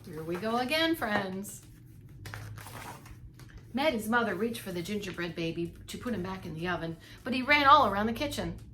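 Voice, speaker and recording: A woman's voice: medium pitch, expressive, moderate pace, pushed and bright. Woman reading a storybook, faint background noise. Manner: emphatic storytelling with asides to listeners. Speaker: preschool teacher reading aloud. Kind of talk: expressive storybook read-along for young children.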